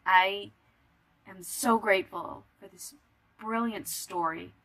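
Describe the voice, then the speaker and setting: A woman's voice: medium pitch, fairly bright tone, low-quality recording. A woman giving a sincere personal message as a fan. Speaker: podcast fan giving a testimonial.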